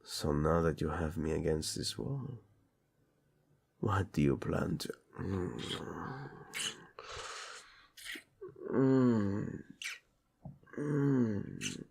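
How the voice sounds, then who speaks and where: A man playing a doting, teasing tyrant prince in a romantic audio roleplay, flirtatious and tender with a husky delivery. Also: low-pitched, big pitch swings, drawn-out words, long pauses, a little mellow, full character voice. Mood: playful, flirtatious.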